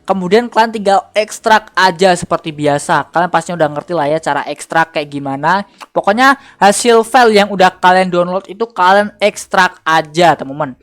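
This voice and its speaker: A woman with an Indonesian accent presents a screen-recorded tutorial. Her voice sits a little low for a woman. She speaks informally and addresses the viewers directly, using plenty of slang. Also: moderate pace. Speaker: tutorial host.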